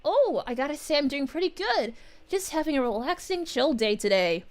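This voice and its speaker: A woman's voice: sits high, very animated, normal speed. A woman streaming as a cheerful princess character, greeting her chat in a bubbly, giggly, sing-song voice.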